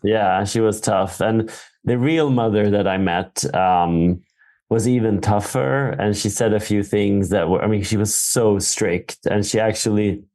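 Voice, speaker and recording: Director guest, man from Sweden, low gravelly voice, thinks aloud and restarts often. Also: darkish tone. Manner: thoughtful, halting, many fillers and restarts. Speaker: film director. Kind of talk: Q&A conversation on stage. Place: theater.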